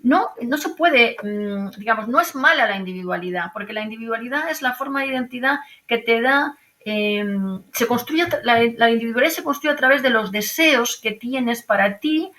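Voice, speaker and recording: A middle-aged woman with a Madrid accent explains her ideas over a video call. Her voice is bright and sits a little high. She thinks out loud, restarts her sentences and often ends a point with a questioning tag. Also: animated, moderate pace. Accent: Madrid Spanish. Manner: thoughtful, many fillers and restarts, rhetorical tag questions. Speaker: archaeologist guest. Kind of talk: conversational interview.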